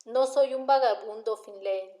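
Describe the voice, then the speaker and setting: A wry, defiant male drifter read by a woman in a crime audiobook. He argues back sardonically and without hurry, in a mellow, rather high voice. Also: roomy sound, lightly acted. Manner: sardonic, argumentative, unhurried retorts. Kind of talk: audiobook character dialogue.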